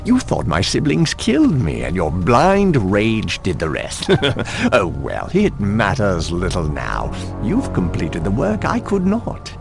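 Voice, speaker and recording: A scheming undead villain from a video game. He gloats and mocks with grand theatrical flourish, his pitch leaping and sinking dramatically. Loud background sound sits behind the speech. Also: huge pitch swings, slow pace, vocal fry, over music, heavily acted. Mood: gloating. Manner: mocking, smug, dramatic.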